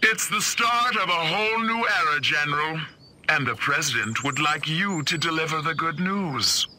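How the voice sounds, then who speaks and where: Sharp-edged, commanding agency chief. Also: male voice, a little high, expressive, a little hiss or hum. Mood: threatening. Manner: measured, authoritative, menacing. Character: cold, commanding secret government agency chief. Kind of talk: 1950s-set video game cutscene acting.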